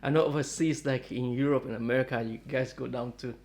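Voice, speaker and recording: A man's voice: mid-range voice, steady tone, fast talker, a little mellow. Rambling, friendly middle-aged man host. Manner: rambling, friendly, many fillers and restarts. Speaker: guitar dealer and channel host. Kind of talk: casual chat to camera. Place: home in Melbourne, Australia.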